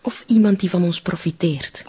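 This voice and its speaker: An actress with a Flemish accent playing a sceptical, cautious member of a sect in a radio drama. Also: female voice, lowish voice, normal speed, mellow, slightly rough, muffled recording. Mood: suspicious. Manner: sceptical, guarded.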